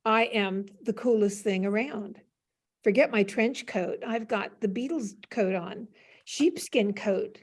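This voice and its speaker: An older American woman, a panel guest, telling casual stories in an animated way and acting out remembered dialogue. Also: mid-range voice, takes it slowly. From United States. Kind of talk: casual anecdotal storytelling.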